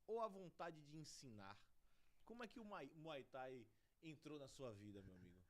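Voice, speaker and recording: A man's voice: fairly high pitch, very animated, moderate pace. A Brazilian man hosting a studio podcast interview, talking with reverence about honor and friendship. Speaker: podcast host.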